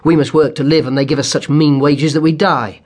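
A man's voice: on the high side, fairly even intonation, fairly quick, darkish tone, close to an everyday voice. A man voicing a bitter, angry, starving weaver in a storybook, declaiming with embittered passion. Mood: bitter, impassioned. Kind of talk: dramatised storybook character reading.

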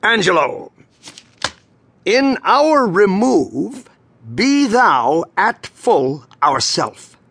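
An actor playing a solemn ruling duke in a Shakespearean stage drama, with formal, measured, oratorical delivery. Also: male voice, sits high, sing-song, a bit bright, a little hiss or hum, exaggerated voice. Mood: grave, earnest. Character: stately ruling duke, solemn, handing over power. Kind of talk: classical Shakespearean stage acting.

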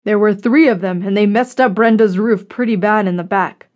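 A woman's voice: mid-range voice, normal speed, darkish tone, close to an everyday voice. A woman narrator voicing a weary, wry, long-lived man in an audiobook story. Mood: wry amusement.

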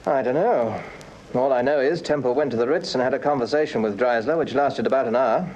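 British male inspector, husky and businesslike, methodical reporter of facts, detective radio drama male actor. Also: mid-range voice, fairly quick, a little mellow, highs cut off, close to an everyday voice. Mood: probing, suspicious. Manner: matter-of-fact, methodical reporting. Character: brisk, businesslike male police inspector, deferential to his chief. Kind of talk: full-cast radio crime drama acting.

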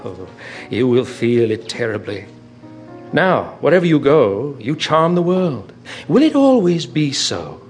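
An actor playing a witty, languid aristocratic gentleman in a radio drama, speaking in theatrical epigrams. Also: medium pitch, sing-song, measured pace, faint music behind. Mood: urging.

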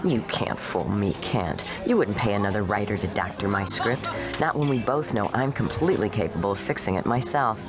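A woman playing a sharp-tongued young actress and screenwriter in a radio play, talking in a dry, confident, sardonic way. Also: extremely low pitch, brisk, hardly any pauses. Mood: shrewd. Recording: radio play with music behind.

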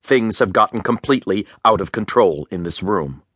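A man's voice: on the low side, animated, normal speed, a bit bright, breathy edge, no room sound, clean studio sound. A man playing a cold, strict male schoolteacher with stern, clipped authority in a children's audiobook. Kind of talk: full-cast children's audiobook acting.

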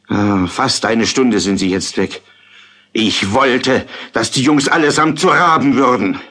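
A man's voice: medium pitch, measured pace, sharp tone. An actor playing an anxious, impatient father who frets and scolds in a fairy-tale radio play. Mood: worried, irritated. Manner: fretful, scolding.

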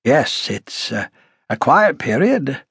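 Man playing a husky, formal male detective. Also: medium pitch, big pitch swings, slow pace, a bit bright, no room sound, clean studio sound, full character voice. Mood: matter-of-fact. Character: matter-of-fact, courteous male police detective. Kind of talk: audiobook character dialogue.

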